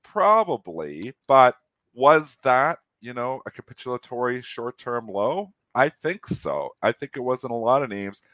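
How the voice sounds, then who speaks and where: A man with a cold and a nasal voice, giving measured market views as a regular on the show. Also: mid-range voice, very animated, fairly bright tone, dry recording. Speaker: trader, regular guest.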